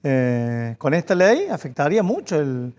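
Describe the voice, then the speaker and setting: Earnest man, slightly high voice. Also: very animated, measured pace, a little mellow. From Argentina. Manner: earnest, insistent, repeats points. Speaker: bookseller association president, guest. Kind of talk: interview answers.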